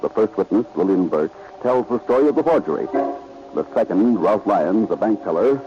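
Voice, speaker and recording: A man's voice: lowish voice, expressive, normal speed, music in the background. A male announcer reading scripted story narration in 1940s radio style. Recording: noisy, muffled old radio recording.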